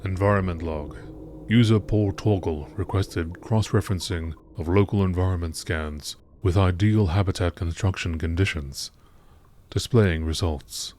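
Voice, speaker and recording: Low-voiced man narrating in documentary style. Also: a little slow, darkish tone, slight background noise. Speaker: narrator reading out game lore.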